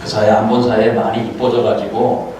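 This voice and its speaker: A man's voice: mid-range voice, flat delivery, brisk, noisy background. An older man giving a casual, joking guest lecture, chatty and self-deprecating. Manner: chatty, self-deprecating, quotes others in playful voices. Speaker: guest lecturer, counseling professor. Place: large auditorium. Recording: echoey hall, voice from far off.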